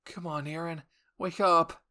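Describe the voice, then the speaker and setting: A man's voice: fairly high pitch, steady tone, a little slow, bright, forceful tone, smooth, voice pushed up. A man voicing an anxious, unsure young male soldier who speaks in a hesitant, pleading way in an anime roleplay. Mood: urgent, resolved. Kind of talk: anime fan roleplay voice acting.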